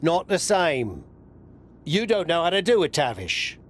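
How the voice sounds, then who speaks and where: Blunt, animated wrestling insider man. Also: on the high side, a little slow, slight background noise. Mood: serious. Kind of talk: video game cutscene dialogue.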